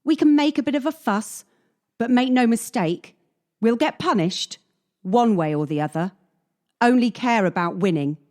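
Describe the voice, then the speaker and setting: A woman's voice: a little high, animated, moderate pace, a little mellow, close to an everyday voice. A woman playing a cynical, hardened young gymnast from Kyiv in a radio play, blunt and world-weary. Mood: stern, resigned.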